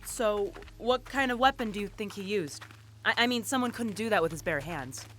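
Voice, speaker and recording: A woman's voice: medium pitch, animated, moderate pace, a bit bright, slight background noise, natural voice. A woman acting a skeptical, sarcastic police investigator with a wry, questioning manner in an audio drama.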